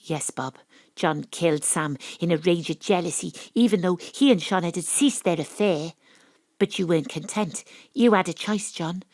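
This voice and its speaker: Cool noir detective lady acted by a woman, lowish voice, dry close sound, deliberate and wry. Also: a little mellow, dry recording, natural voice. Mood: cool, accusing. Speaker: lead actor and narrator. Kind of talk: noir audio drama narration and dialogue.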